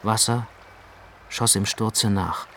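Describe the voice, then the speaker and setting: A man playing a gravely tender, observant storyteller in a literary radio drama, narrating with measured precision. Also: lowish voice, fairly even intonation, bright, forceful tone, a little hiss or hum, heavily acted. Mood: grave narration. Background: prominent music.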